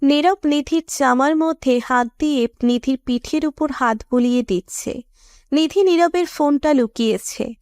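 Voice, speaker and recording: Female narrator for scripted romance audio story, high voice, dry recording. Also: normal speed, darkish tone, no room sound.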